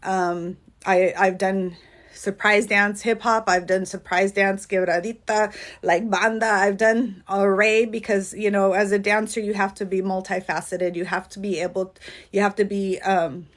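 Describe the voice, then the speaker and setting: A woman's voice: mid-range voice, flat delivery, measured pace. A California-born woman chatting on a livestream as a dance choreographer and coach, rambling and earnest, with lots of "um" and "like". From California, USA. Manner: rambling, earnest, heavy on fillers like "um" and "like". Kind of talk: casual livestream chat. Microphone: phone livestream.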